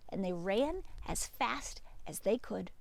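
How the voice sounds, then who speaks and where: A woman's voice: medium pitch, lively intonation, takes it slowly, gravelly. A woman hosting a children's storytime, reading aloud and speaking directly to young listeners with questions and asides. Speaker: storytime reader and channel host.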